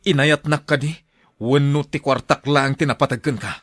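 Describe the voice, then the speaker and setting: A man's voice: mid-range voice, fairly even intonation, moderate pace, a bit bright, natural voice. An actor playing a stubborn, lovestruck young man, a devoted son, in an Ilocano radio drama. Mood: hurt, angry. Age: young adult.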